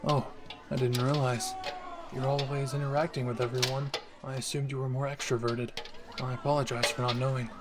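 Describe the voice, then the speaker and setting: A man playing a calm, polite, literal-minded young anime pro hero, soft-spoken and deadpan, in an audio roleplay. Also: mid-range voice, brisk, heavy background noise, lightly acted. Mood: sincere, apologetic.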